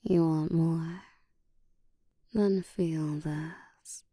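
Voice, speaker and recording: Actress as commanding female vampire for roleplay audio: very slow, breathy whisper, dark low tone, teasing control. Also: steady tone, no echo, studio quality, heavily acted.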